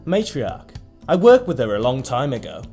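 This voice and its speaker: A man's voice: medium pitch, wildly swooping pitch, moderate pace, creaky, darkish tone. A man playing a world-weary, uneasy sidekick in an audio drama, sardonic and disapproving. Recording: music under the voice.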